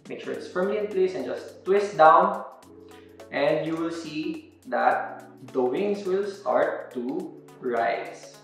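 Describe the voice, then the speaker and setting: Young guy walking you through a demo, slightly high voice, super echoey room. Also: takes it slowly, gravelly, soft background music. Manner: friendly step-by-step explaining. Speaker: wine presenter. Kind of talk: instructional demo. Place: indoor room with a table.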